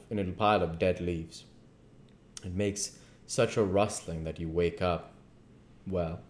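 A man in his thirties reading a classic novel aloud deliberately, with occasional stumbles. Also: deep voice, a little mellow. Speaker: amateur audiobook reader. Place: living room at home, indoors. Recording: echoey home room recording.

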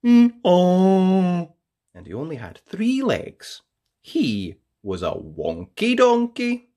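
A storyteller hosts a read-aloud for children. The delivery is extremely animated, leaping up and down in pitch. Key words are stretched out with playful, theatrical emphasis. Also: slow pace, slightly rough. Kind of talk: expressive read-aloud of a children's picture book.

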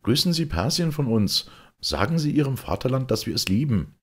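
A man's voice: mid-range voice, sing-song, moderate pace, slightly rough, pitched above the performer's own voice. A man voicing a jovial, pompous town mayor in a storybook, effusive and declamatory. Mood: gushing.

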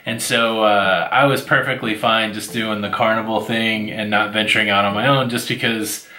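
A man's voice: fairly low pitch, fairly even intonation, moderate pace, sharp tone, roomy sound, soft background music, poor audio. A man in his forties co-hosting a casual vlog, rambling and self-deprecating with lots of filler words, seated beside his co-host. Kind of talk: casual chat. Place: indoors, seated before a virtual backdrop.

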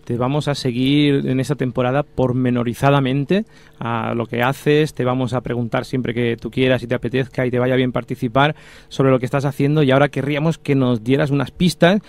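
A man's voice: mid-range voice, steady tone, a little fast, vocal fry, a little mellow. Spanish man hosting live radio. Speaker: radio show host. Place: small radio studio. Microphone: studio microphone with headphones.

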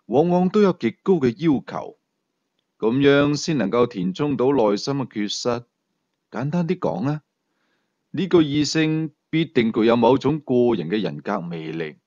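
A cool, condescending investigator in a suspense audiobook, acted out by a male narrator, with a Hong Kong Cantonese accent. He is unhurried and calculating, with expressive pitch. The sound is dry and slightly dull. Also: no room sound. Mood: smug, probing.

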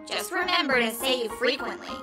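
A woman's voice: high-pitched, lively intonation, normal speed, over loud music. A woman voicing a small, bossy, cynical cartoon flower in a comic dub, snippy and sarcastic. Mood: dry, sarcastic.

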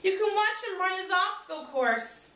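A woman's voice: extremely high pitch, lively intonation, normal speed, a bit bright, pitched above the performer's own voice. A woman voicing a kindly, upbeat female schoolteacher with an encouraging manner in a children's storybook. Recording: roomy, distant camera microphone.

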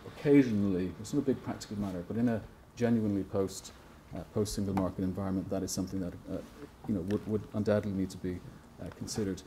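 A man in his forties giving a measured academic panel talk in a seminar room, hesitant with frequent restarts. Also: on the low side, fairly even intonation, mellow, a little hiss or hum. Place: seminar room at a conference table.

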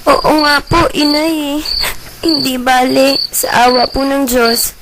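Heartbroken young daughter played by a woman, high, earnest and plaintive, radio play, heavy background noise. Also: steady tone, slow pace. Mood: tearful, resigned. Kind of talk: amateur radio drama acting. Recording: very noisy amateur recording, insect-like chirps. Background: loud noise, crickets.

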